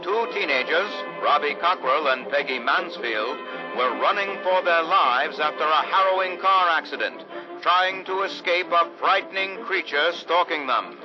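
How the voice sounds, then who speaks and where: An actor playing a theatrical 1950s radio announcer who narrates suspense tales in a dramatic, showy style. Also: male voice, fairly high pitch, measured pace, highs cut off, natural voice. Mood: ominous, dramatic. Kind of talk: vintage radio scripted narration. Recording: band-limited old radio sound with music.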